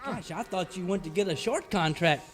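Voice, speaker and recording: A very high, gee-whiz sidekick. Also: male voice, expressive, normal speed, soft background music. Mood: wide-eyed. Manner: wide-eyed, gee-whiz. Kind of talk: parody of old-time radio melodrama acting.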